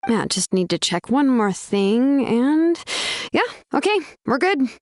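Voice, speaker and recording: A woman's voice: high voice, big pitch swings, slow, no echo, clean studio sound, exaggerated voice. A woman playing a laid-back, capable young woman in a video game, with a casual, easygoing manner. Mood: casual focus. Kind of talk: English video game voice acting.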